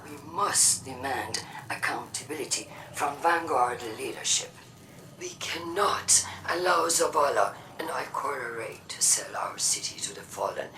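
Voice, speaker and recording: An actress playing a grave, agitating faction leader who gives an urgent, oratorical address in a video game. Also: female voice, rumbling low, animated, a little slow, background noise. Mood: urgent, persuasive. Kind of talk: video game broadcast speech. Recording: distant voice under prominent music.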